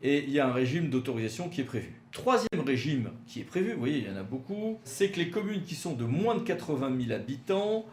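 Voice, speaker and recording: A man's voice: medium pitch, very animated, fairly quick, hardly any pauses, darkish tone. A man gives an explanatory legal lecture with slides, in a didactic manner with emphatic signposting and frequent hesitations. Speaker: legal expert presenting. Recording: roomy echo with faint background noise.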